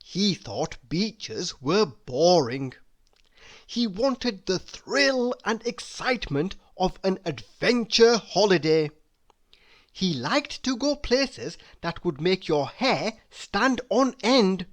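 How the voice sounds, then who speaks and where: A storybook reader narrating for children in a deliberate, expressive way, drawing out key words for emphasis. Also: medium pitch.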